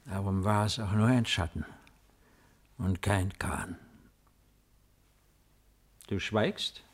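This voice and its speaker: An actor playing a weary, melancholy old Roman emperor in a solemn, measured 1950s radio drama style. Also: male voice, low voice. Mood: brooding, then questioning. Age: older.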